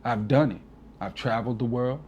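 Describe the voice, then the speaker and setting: A man's voice: mid-range voice, a little fast, a little mellow, dull audio, a little hiss or hum. A man in his forties, a DJ guest on an interview, talking earnestly and from the heart, often adding "you know". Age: 40s. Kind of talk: casual reflective interview talk.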